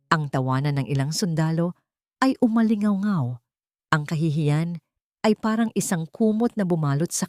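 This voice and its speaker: A woman narrating a scripted story in a melodramatic, deliberate way over music. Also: low voice, a little mellow, dry recording, clean studio sound. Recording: music bed under the voice.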